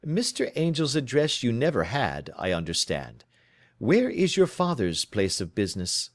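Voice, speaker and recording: Man reading as a brisk, assured detective, lively emphatic delivery, storybook reading. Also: mid-range voice, no echo, clean studio sound, lightly acted. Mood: authoritative. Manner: crisp, authoritative, reassuring. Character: brisk, self-assured Victorian male detective.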